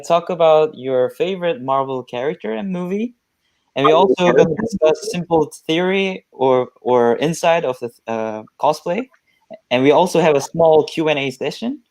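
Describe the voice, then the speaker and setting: Give me a young man from South Korea with a Korean accent, hosting a live online event over a video call. He speaks halting English and often stops to search for the right word. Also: a little high, darkish tone. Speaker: event host.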